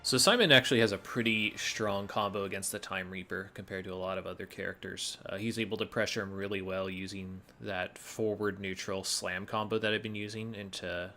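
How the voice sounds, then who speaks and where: A man's voice: low-pitched, fairly even intonation, brisk, hardly any pauses, sharp tone. A man giving explanatory gameplay commentary as a speedrunner, matter-of-fact and instructive. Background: faint game music.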